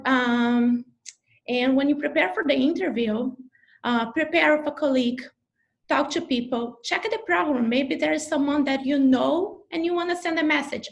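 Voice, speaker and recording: A woman's voice: a little high, vocal fry. A neurologist from Brazil with a Brazilian Portuguese accent gives advice over a video call. Her Portuguese-first English is hesitant and full of fillers and restarts. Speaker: neurologist faculty member. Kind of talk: advice talk in an online info session.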